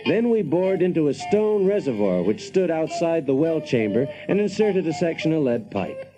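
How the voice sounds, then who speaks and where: A man's voice: on the high side, big pitch swings, normal speed, music in the background, rough recording. A man playing a brash, wry engineer in a radio drama, telling his story with dry, folksy self-assurance. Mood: wry, self-satisfied. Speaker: protagonist and narrator. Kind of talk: dramatized first-person narration, radio drama.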